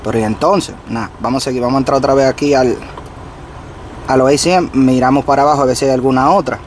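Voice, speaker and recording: A man's voice: medium pitch, fairly even intonation, quick pace, a little hiss or hum. A man in his thirties, a trucker vlogger, explaining step by step straight to his viewers from the cab of his parked truck. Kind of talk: casual how-to vlog. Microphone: camera on the dashboard facing him.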